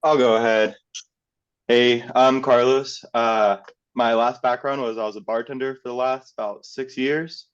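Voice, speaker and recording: Relaxed man on muffled call audio. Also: mid-range voice, a little slow, smooth. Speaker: student. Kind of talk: self-introduction. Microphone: video call.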